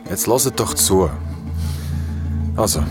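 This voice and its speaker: A man's voice: on the low side, animated, takes it slowly, music in the background. An actor playing a troubled man with a Swiss German accent, telling friends about his past in a hesitant, colloquial way in an audio drama. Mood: earnest storytelling. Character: Besim, troubled man recounting childhood summers to friends. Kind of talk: audio drama dialogue, campfire storytelling.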